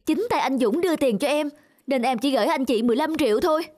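A young married village woman in a cartoon , played by a woman, earnest and stubborn. Her voice sits high and presses its point insistently, with music clearly behind it. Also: fast, natural voice. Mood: indignant. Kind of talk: animated moral tale dialogue.

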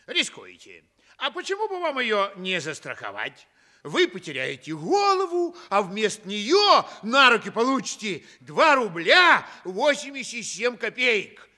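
An actor playing a brisk, wheedling male schemer who repeats himself insistently in a comic radio play. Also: very high-pitched, huge pitch swings, bright, forceful tone, full character voice.

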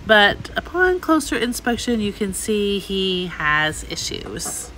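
A woman's voice: mid-range voice, sing-song, slow pace, fairly bright tone, slight background noise, poor audio. A middle-aged woman giving casual, chatty shopping vlog commentary, gushing over the items she looks at. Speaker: host, antiques reseller. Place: inside an antique mall.

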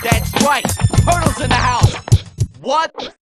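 A man's voice: extremely high pitch, sing-song, slow pace, creaky, a bit bright, faint music behind, full character voice. A man voicing a brash young male street-fighting vigilante who raps and shows off in a video game cutscene. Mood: cocky. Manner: cocky, rapping, showing off.

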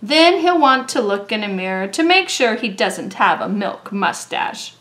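A woman reading a children's story aloud in an expressive, deliberate way, drawing out words for young listeners. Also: medium pitch, slight background noise. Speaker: storybook reader.

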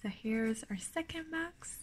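Woman, breathy whisper, slow, gentle, fairly high, faint hiss behind. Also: animated. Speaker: ASMR unboxing host. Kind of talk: ASMR soft whispering. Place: indoors at a table. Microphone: close mic on a tabletop.

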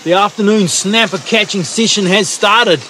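A man's voice: high-pitched, moderate pace, pushed and bright, soft background music. A man chatting casually while fishing on a rocky sea coast, laughing often and cheering his mates on. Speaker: vlog host. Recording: wind and waves, noisy outdoor camera mic.

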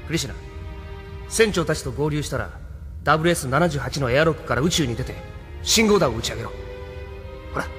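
Confident rebel pilot, anime radio play, talks fast, bossy but breezy, some reverb. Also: male voice, fairly high pitch, animated, a bit bright, soft background music. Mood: brisk, commanding. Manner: commanding, casual masculine speech. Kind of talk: Japanese anime audio drama acting.

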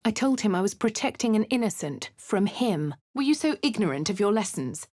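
An actress with a posh English accent voicing a composed young female adventurer in a game, crisp and clipped. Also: mid-range voice, expressive, a little fast, darkish tone, no echo, studio quality, natural voice. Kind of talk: game voice lines and narration.

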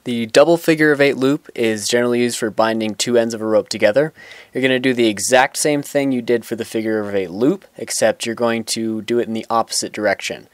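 A man's voice: medium pitch, fairly even intonation, brisk, no room sound, studio quality. A young man giving a plain step-by-step knot-tying tutorial outdoors, talking in an instructional way and now and then restarting a sentence. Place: outdoors on grass.

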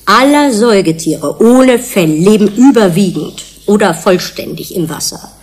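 An actress playing an earnest scientist in a German radio drama, lecturing colleagues in a measured, precise, didactic way. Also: female voice, medium pitch, lively intonation, a little mellow, slightly rough. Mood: earnest, explanatory.

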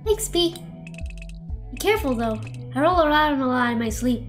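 Woman acting a young schoolgirl, visual novel character, high lilting voice, earnest, teasing, soft-hearted. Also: lively intonation, moderate pace. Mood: wistful, shy. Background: game music.

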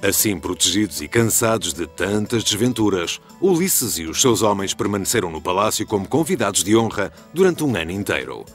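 A man narrating an animated ancient legend as a solemn storyteller, in European Portuguese with dramatic scripted delivery. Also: lowish voice.

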